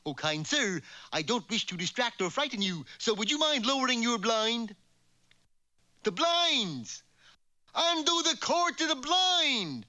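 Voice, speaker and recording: An actor voicing a dim but self-assured moose giving mock instruction in a cartoon, in a dopey tone. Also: male voice, extremely high pitch, very animated, unhurried, bright, forceful tone, no room sound, heavily acted. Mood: earnest. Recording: noisy old cartoon soundtrack.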